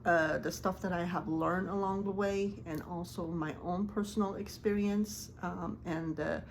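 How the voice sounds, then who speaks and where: A woman whose first language is Farsi talks to camera as a life coach, her voice sitting slightly low. She is earnest and halting, with plenty of ums and restarts. Faint noise hums under the recording. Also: steady tone. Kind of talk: casual talk to camera.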